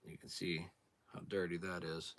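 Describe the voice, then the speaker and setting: A hoarse man clearing his throat as he explains a cleaning job step by step in a relaxed, plain-spoken way, sometimes moving away from the camera mic. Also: low-pitched, normal speed. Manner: relaxed, plain-spoken, thinking aloud while working. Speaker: outdoors channel host. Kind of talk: casual hands-on demonstration.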